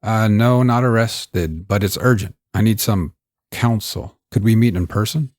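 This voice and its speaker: A man voicing an anxious, gruff father, a terse plumber muttering under pressure in a crime audiobook. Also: on the low side, measured pace, a little mellow, no echo, clean studio sound. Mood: urgent, guarded. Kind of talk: acted dialogue in crime audiobook.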